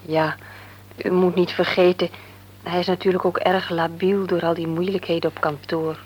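An actress playing a worried young married daughter in a radio drama, earnest and slightly anxious. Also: female voice, on the low side, little pitch movement, moderate pace, darkish tone, a little hiss or hum, close to an everyday voice, rough recording.

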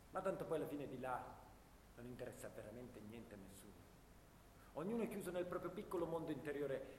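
A man playing a disillusioned, bookish young soldier, speaking earnestly and in literary phrases in a radio drama. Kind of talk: dramatic radio theatre monologue. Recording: echoey reverberant room, low rumble.

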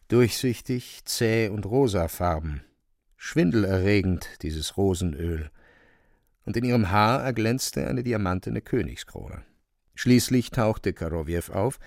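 Gravelly, low-voiced male narrator, very dry sound. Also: no room sound. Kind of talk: scripted literary narration.

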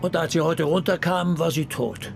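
Male radio-drama role: gravelly detective, courteous and flirty, music underneath. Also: on the high side, normal speed. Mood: polite questioning. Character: flirty male homicide cop, courteous while questioning witnesses. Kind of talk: German radio play dialogue.

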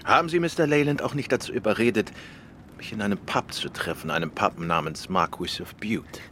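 An actor playing a composed gentleman detective in a German radio crime drama, politely pointed in his questions. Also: fairly low pitch, brisk, a little hiss or hum, close to an everyday voice. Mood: probing. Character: composed, probing gentleman detective, adult man.

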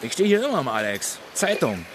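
A man's voice: mid-range voice, very animated, brisk, background noise, natural voice. A man playing an embittered, hard-drinking Berlin working man in a radio drama, brooding and blunt, with a Berlin accent. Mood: gruff, defensive. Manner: repetitive, brooding, blunt grumbling.

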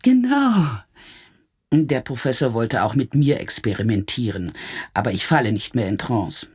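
A woman's voice: very deep voice, big pitch swings, moderate pace, darkish tone, slightly rough, full character voice. An actress playing a defiant, secretive woman under police questioning in a German radio drama, guarded and indignant.

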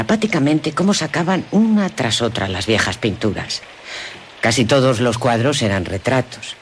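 An actress playing Laura, a wistful, easily amazed young gentlewoman in a Spanish radio drama, with a Castilian accent, moving between hushed narration and excited exclamation. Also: low voice, a little hiss or hum.

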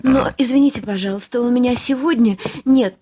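An actress playing a theatrically grieving young widow of the gentry in a radio play, plaintive, sighing and affectedly refined. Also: female voice, on the high side, a little fast, warm tone, natural voice. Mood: politely cool. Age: young adult. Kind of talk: classic radio play acting.

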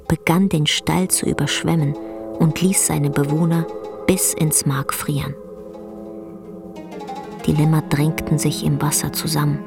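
A woman performing as the grave, intense narrator of a bleak literary radio drama, telling it in a measured way. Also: sits low, creaky, exaggerated voice. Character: female storyteller of a bleak rural tale, grave and intense. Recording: music under the voice.

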